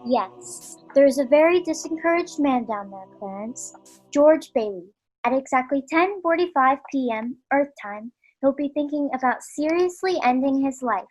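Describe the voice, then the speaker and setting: A commanding female superintendent of angels, played by a woman. Her voice is high, her delivery businesslike and authoritative, in the style of an old radio play. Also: expressive, moderate pace, darkish tone, faint music behind, rough recording. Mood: brisk, commanding.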